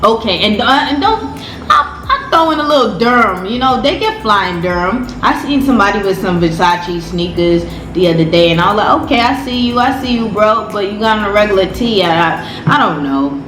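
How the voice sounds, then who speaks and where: A woman's voice: mid-range voice, expressive, fairly quick, a bit bright, echoey room, faint music behind, rough recording. A woman, chatting casually in a story-time vlog, slangy and repeating her phrases. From Brooklyn, New York City. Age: 30s. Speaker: vlogger.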